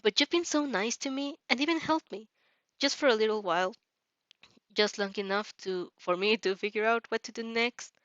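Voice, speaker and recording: A woman's voice: on the high side, normal speed, a bit bright, no echo, highs cut off, lightly acted. A woman voicing a lost, grateful fourteen-year-old vampire girl in an audio fan story, earnest and effusive. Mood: anxious, pleading.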